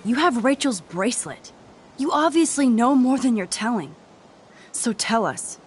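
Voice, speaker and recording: An actress playing an earnest, conciliatory young woman in a video game, speaking in a gentle, pleading, diplomatic manner. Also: a little high, lively intonation, a little slow, husky. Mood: earnest, urgent. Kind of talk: dramatic video game voice acting.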